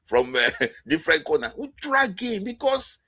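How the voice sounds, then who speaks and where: A man hosting a football talk show, commenting casually in an animated, exasperated way with laughs between points. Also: sits high, normal speed.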